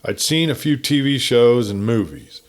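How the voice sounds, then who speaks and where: A man narrating a written campfire story in a folksy, conversational, unhurried manner. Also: mid-range voice, animated. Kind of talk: folksy scripted story reading.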